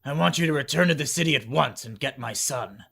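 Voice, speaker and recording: A man playing a callous, hot-tempered army general in a fantasy animation, with a gruff, commanding manner and a gravelly voice. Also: mid-range voice, brisk, fairly bright tone. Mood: cold, scornful. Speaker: voice actor. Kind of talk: animated fantasy drama acting.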